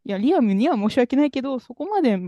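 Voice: sits high, big pitch swings, quick pace, warm tone, no echo, muffled recording. A young adult podcast co-host in casual chat about music, chatty and hesitant, trailing off with fillers.

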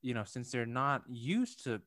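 A man's voice: mid-range voice, sing-song, measured pace, fairly bright tone, no echo, clean studio sound. A young man from Indonesia chatting casually, thinking aloud with many fillers, restarts and light laughs. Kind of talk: casual conversation.

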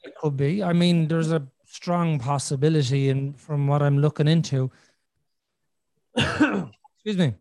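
A man hosts a casual podcast, working his points out aloud with restarts and lots of "you know". His voice sits a little higher than most men's. The recording is dry and close, with no room sound. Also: unhurried, pauses often, a little mellow, dry recording. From Ireland. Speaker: podcast host. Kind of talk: casual conversational podcast chat.

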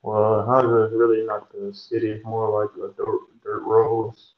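A man's voice: lowish voice, monotone, normal speed, reverberant, phone-quality sound. A young man from Georgia, a pro basketball player chatting casually as a guest and rambling with plenty of fillers and restarts. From Georgia, USA. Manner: laid-back, rambling with many fillers and restarts. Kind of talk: casual interview chat.

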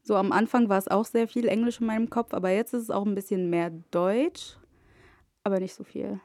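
A woman's voice: mid-range voice, fairly even intonation, a little fast, warm tone, dry recording, clean studio sound. A woman from Egypt, a native Arabic speaker, chatting as a podcast guest and often slipping English words into her talk. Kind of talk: casual chat.

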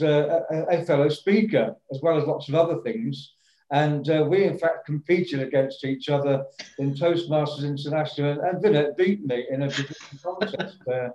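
A man's voice: a little high, mellow, roomy sound, muffled recording. A man hosting a podcast who introduces his guest in a hesitant, friendly, unscripted way full of fillers. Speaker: podcast host. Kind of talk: casual interview.

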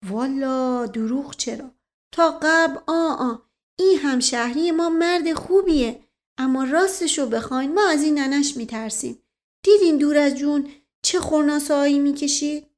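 A woman's voice: high-pitched, voice pushed up, close to an everyday voice. A woman voicing a folksy, chatty old male servant with a colloquial drawl in a storybook reading. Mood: folksy, frank.

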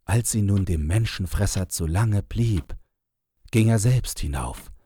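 A man narrating a fairy tale from a script in a measured, deliberate storytelling manner. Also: on the low side, mellow, husky.

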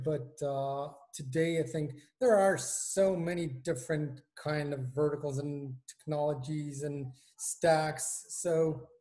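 Israeli guy in his 40s on a video call, lots of uh and restarts, voice a bit on the high side. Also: a little mellow. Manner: hesitant, many fillers and restarts. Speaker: guest, engineering manager. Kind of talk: casual video-call interview.

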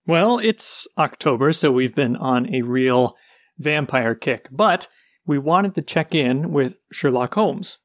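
A man's voice: mid-range voice, animated, takes it slowly, dry recording, studio quality. A man co-hosting a book podcast, explaining characters and history in an informative way. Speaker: podcast co-host. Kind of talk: casual book chat.

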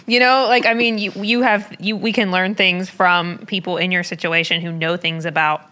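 A woman's voice: fairly low pitch, fairly even intonation, normal speed, pushed and bright. A woman in a casual chat, asking questions thoughtfully and a bit hesitantly. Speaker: interviewer. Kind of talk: casual conversation.

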